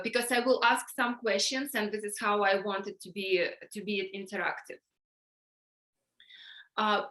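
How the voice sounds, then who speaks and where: A middle-aged woman with a Ukrainian accent, leading an online workshop in a halting way, full of fillers and restarts, on a video call. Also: a little high, sharp tone. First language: Russian or Ukrainian. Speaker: workshop facilitator. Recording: echoey room.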